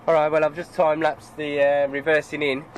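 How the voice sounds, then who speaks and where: A man's voice: fairly high pitch, level tone, fairly quick. A truck driver with a stuffed-up nose from a cold, chatting casually to the camera from his cab and grumbling at other road users. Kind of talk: casual driving vlog commentary. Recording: road and engine noise in cab.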